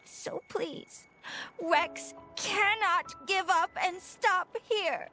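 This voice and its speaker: A woman's voice: very high voice, very slow, sharp tone, soft background music, exaggerated voice. A woman voicing a small, earnest robot girl in a game, pleading in simple, clipped grammar. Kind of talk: anime-style game cutscene acting.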